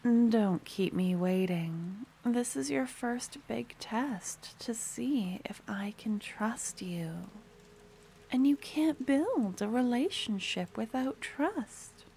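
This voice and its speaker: A woman voicing a possessive, obsessive merchant girl in an ASMR fantasy roleplay, doting and sweetly menacing, often slipping into breathy half-whispers. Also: on the high side, lively intonation, takes it slowly, mellow, heavily acted. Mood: commanding, sweet.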